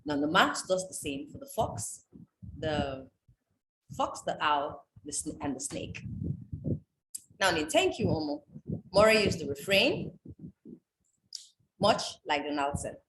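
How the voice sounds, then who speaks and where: A woman from Nigeria, reading a prepared talk on folktales in a measured, explanatory way. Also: fairly low pitch, sing-song. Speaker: writer presenting on folktales. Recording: echoey room with background noise.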